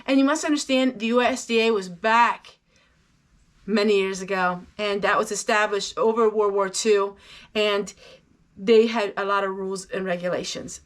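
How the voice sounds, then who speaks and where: A woman's voice: mid-range voice, normal speed. A middle-aged woman giving a casual, folksy homestead how-to talk, chatty and explanatory. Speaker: home canning vlogger.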